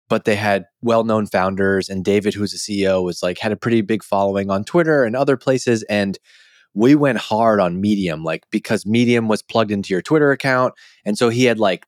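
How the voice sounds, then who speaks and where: A man's voice: on the low side, no echo, clean studio sound. A man talking as a podcast host in a casual, colloquial, informal way. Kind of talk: casual interview chat and scripted ad read.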